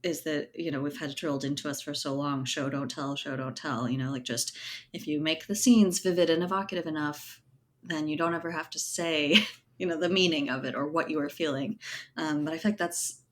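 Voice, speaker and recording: A woman's voice: sits low, fairly even intonation, fairly quick. A woman speaking as an interview guest in an informal, thoughtful way, often checking in with little tag questions. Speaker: interview guest, writer and editor. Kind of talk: casual conversational interview talk.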